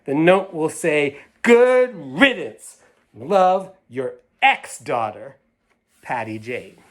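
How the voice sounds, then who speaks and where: A woman's voice: sits low, lively intonation, takes it slowly, exaggerated voice. Storybook role: sulky drama-queen little girl, voiced by a man, haughty and emphatic, every grievance precisely stated. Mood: defiant. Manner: pompous, emphatic, over-precise declarations.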